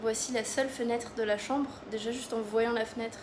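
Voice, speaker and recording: A woman's voice: on the high side, steady tone, brisk. A young woman from France vlogging in casual, rambling chat with lots of fillers, filming on a phone in a moving car. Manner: chatty, rambling with many fillers. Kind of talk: casual travel vlog chat. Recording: loud road noise behind.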